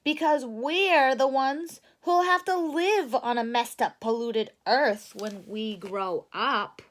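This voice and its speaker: A woman's voice: on the high side, slow pace. A woman voicing an earnest, indignant little girl bear cub in a storybook, with an insistent, emphatic delivery.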